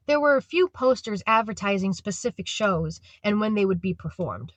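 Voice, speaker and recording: A woman's voice: medium pitch, a bit bright, no room sound. A woman reading scripted third-person narration for an audio drama.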